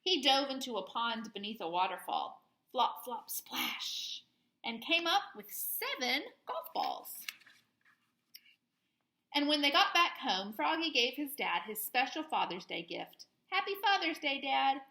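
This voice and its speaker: Woman reading a kids book out loud, slow and really expressive, high voice, echoey room. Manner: animated, playful sound words. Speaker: children's read-aloud host. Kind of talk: expressive storybook narration.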